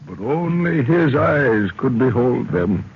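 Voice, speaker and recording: An actor playing a dying, wise old African village elder, speaking solemnly and archaically in an old-time radio drama. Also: male voice, medium pitch, expressive. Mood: grave, foreboding. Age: older. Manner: solemn, slow, archaic storytelling. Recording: dull, noisy vintage radio recording.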